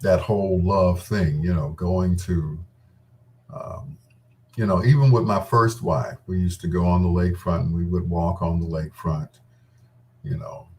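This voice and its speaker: A man's voice: sits low, fairly even intonation, a little slow, darkish tone, far from the mic. A middle-aged man reminiscing casually in a rambling, candid and playful way, as the host of his own show. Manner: informal, candid, playful storytelling with self-quoting.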